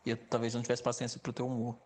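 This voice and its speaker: A man's voice: medium pitch, monotone, very fast, a little mellow. Male listener, mid-range voice, informal spoken message. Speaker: podcast listener sending a message.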